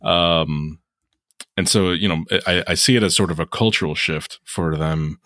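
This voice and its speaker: Very deep-voiced man, casual and joking. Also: measured pace, dry recording, studio quality. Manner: relaxed, joking, hesitant. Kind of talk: casual chat.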